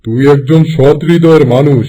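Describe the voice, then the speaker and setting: A man's voice: a little high, normal speed, dark tone, natural voice, low-quality recording. A man playing a wise, benevolent holy hermit in a cartoon fairy tale, solemn and instructive. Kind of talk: Bengali animated fairy-tale acting.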